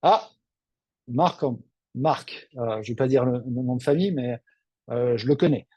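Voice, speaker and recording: Husky, mellow Frenchman answering questions. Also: medium pitch, unhurried, no echo, dull audio. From Lille, northern France. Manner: off-the-cuff, conversational, frank. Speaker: financial adviser hosting a webinar.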